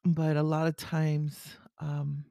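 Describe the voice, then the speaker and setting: A woman with a raspy voice speaking haltingly and earnestly in a confessional, faith-based podcast monologue. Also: low-pitched, monotone, warm tone, no echo, studio quality. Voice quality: raspy early-morning voice. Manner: halting, earnest, with throat clearing. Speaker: podcast host.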